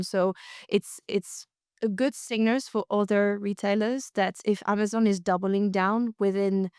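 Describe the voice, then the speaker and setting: A woman's voice: mid-range voice, darkish tone, smooth, no echo, clean studio sound. A woman co-hosting a podcast, discussing industry news conversationally and often rephrasing as she explains.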